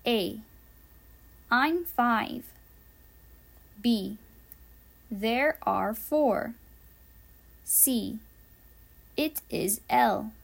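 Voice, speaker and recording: A woman reading an English listening quiz for learners, carefully enunciated, with pauses between the answer choices. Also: a little high, animated, creaky. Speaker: English teacher.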